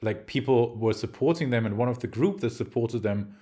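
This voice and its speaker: A man's voice: medium pitch, fairly quick, warm tone. A man co-hosting a podcast, rambling casually about films with lots of fillers and teasing remarks. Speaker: podcast co-host.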